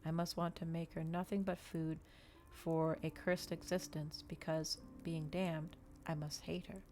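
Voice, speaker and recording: A woman reading a story aloud in ASMR style, with a measured, dramatic delivery in short phrases. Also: sits low, level tone, a little mellow. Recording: clear background ambient noise.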